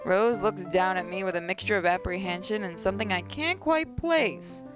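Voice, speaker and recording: A woman's voice: mid-range voice, normal speed. A woman hosting a gaming video, reading a visual novel aloud with asides and occasional stumbles. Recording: voice over game music.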